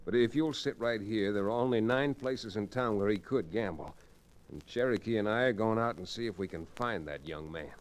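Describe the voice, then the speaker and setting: An actor playing a measured, courteous frontier lawyer in an old Western radio drama. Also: male voice, lowish voice, close to an everyday voice, rough recording. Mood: courteous, thoughtful.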